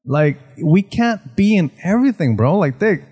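A man's voice: high-pitched, big pitch swings, moderate pace, mellow, slightly rough. A man co-hosting a podcast in casual chat, swearing a lot and calling people bro. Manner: profane, says bro a lot. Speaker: podcast co-host.

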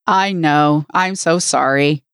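A woman's voice: low-pitched, takes it slowly, clear, resonant tone, no echo, clean studio sound. A woman co-hosting a podcast, talking in a casual discussion style. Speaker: podcast co-host.

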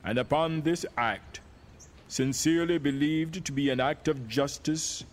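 A man's voice: a little high, a little slow, natural voice. A man playing a solemn, resolute American president delivering a proclamation in a stately, oratorical manner. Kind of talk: dramatic reading of a proclamation.

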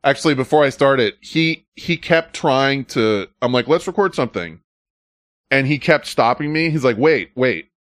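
A man's voice: mid-range voice, animated, moderate pace, a bit bright, dry recording, studio quality, some chatter behind. A man hosting a podcast, telling a story in a loose, chatty way. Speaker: podcast host. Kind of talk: casual podcast chat.

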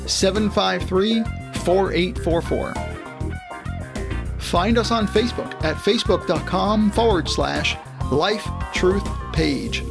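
Male announcer, high register with vocal fry, scripted podcast outro over music.

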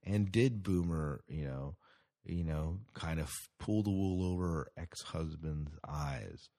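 A man's voice: very deep voice, takes it slowly, warm tone. A man hosting a podcast with casual solo commentary, rambling and hesitant, often repeating his phrases. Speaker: podcast host.

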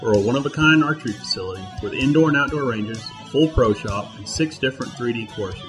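A man with a mid-range voice reads a scripted radio ad in an upbeat, promotional tone. A clear guitar music bed plays under him throughout. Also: medium pitch, fast talker. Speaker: ad spokesman.

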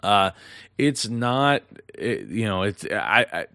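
A man's voice: lowish voice, very animated, slow, vocal fry, pushed and bright, no room sound, clean studio sound. A man hosting a business news show, reading headlines and commenting with many restarts while losing his voice. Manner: rambling, many restarts and fillers. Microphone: close mic.

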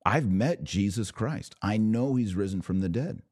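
A man's voice: lowish voice, animated, unhurried, darkish tone, dry recording, clean studio sound. A man hosting a religious podcast, speaking straight to his viewers in an earnest, emphatic, preacherly way. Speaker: podcast host. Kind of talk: live religious commentary to viewers, with exhortation and prayer.

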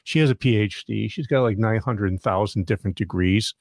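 A man's voice: fairly low pitch, fairly quick, creaky, darkish tone, no room sound. Guy hosting a business podcast, dry close sound, chatty and rambly. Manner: friendly, rambling, with fillers and restarts. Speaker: podcast host. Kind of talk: casual interview hosting.

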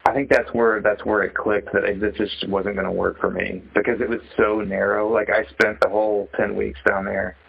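Low-voiced man on a dull phone line. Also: flat delivery, gravelly, slightly rough. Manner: halting, searching, reflective. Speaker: interviewee, sculptor. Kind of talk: casual phone conversation. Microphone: telephone. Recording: dull, band-limited phone call.

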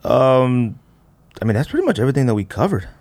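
A man in his thirties hosting a casual chat, rambling and thinking out loud in slangy talk. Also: mid-range voice, big pitch swings, fast. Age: 30s. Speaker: podcast host. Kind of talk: casual chat about sneakers.

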